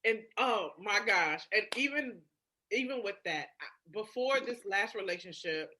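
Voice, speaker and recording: Bright, forceful woman telling personal stories. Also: medium pitch, a little slow. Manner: expressive, emphatic, frequent swearing. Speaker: podcast guest or co-host. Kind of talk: candid casual personal storytelling.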